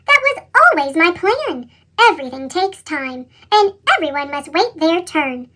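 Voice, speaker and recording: A woman voicing a stern, formal gentleman railway boss in a children's storybook, with a sped-up chipmunk effect. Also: high voice, sing-song, measured pace, exaggerated voice. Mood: patient.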